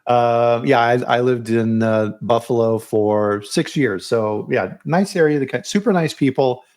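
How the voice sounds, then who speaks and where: A man's voice: mid-range voice, unhurried, darkish tone. Man, podcast host, casual chatty talk, stammering restarts, mid-range voice. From United States. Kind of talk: casual live chat.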